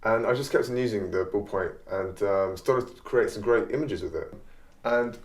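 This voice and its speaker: A man with a fairly low voice talks casually in an interview, as an artist. The room around him is clearly echoey. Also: moderate pace.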